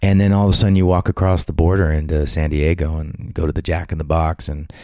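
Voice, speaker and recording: A man's voice: a bass voice, level tone, fast, dark tone, no echo. A man, telling a personal story in a casual chat with lots of restarts. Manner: storytelling, frequent restarts and acted-out quotes. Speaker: podcast guest.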